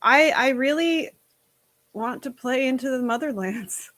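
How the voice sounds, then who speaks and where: A woman talking as a guest in a casual stream chat, frank and animated, with casual swearing. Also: sits high, a little slow, no room sound.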